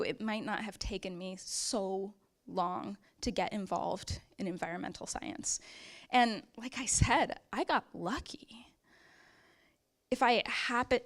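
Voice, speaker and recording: Young woman, conference speaker, earnest and encouraging, slightly high voice. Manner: earnest, encouraging, with hesitant fillers. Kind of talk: prepared personal speech to an audience.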